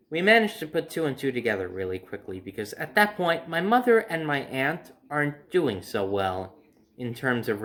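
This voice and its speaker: A man's voice: mid-range voice, a bit bright. A young adult man casually telling a personal story to camera in a conversational, emphatic way. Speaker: storyteller and host. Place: indoor room by a window at home.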